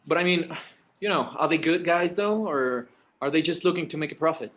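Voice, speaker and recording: High-pitched, earnest, stammering son at the end of his patience, male actor, table read. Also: a little fast, a bit bright, lightly acted. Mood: nervous. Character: anxious, exasperated adult son and schoolteacher.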